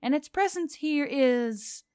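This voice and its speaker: A woman voicing a stubborn, sharp-witted young heroine in a fantasy audiobook, with a probing, sarcastic manner. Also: high-pitched, animated, takes it slowly, pitched above the performer's own voice. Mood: serious.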